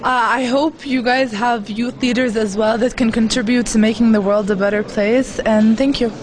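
A woman's voice: a little high, steady tone, normal speed, slight background noise, rough recording. A young woman who works in refugee resettlement, speaking earnestly in an interview and building her points through repeated phrases. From Lebanon. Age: young adult. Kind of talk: interview remarks at a youth forum.

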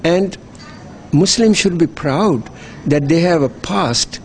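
A man's voice: a little high, slow pace, a little mellow, a little hiss or hum. A middle-aged man, giving earnest, persuasive interview answers as an NGO worker, often repeating words as he restarts. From Pakistan. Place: hotel.

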